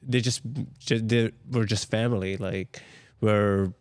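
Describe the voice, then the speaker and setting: A young man from Jordan speaks as an interview guest in a lowish, flat, smooth voice. He is soft-spoken and often searches for the right word, and the recording is dry and close. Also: takes it slowly, no echo. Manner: soft-spoken, searches for words, many "like" fillers. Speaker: guest, restaurant co-owner. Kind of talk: casual interview chat.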